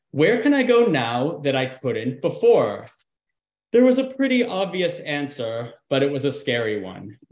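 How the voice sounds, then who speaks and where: A man's voice: mid-range voice, a little mellow. A man in his thirties giving a conversational slide talk, with frequent ums. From United States. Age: 30s. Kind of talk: presentation with slides. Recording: echoey room.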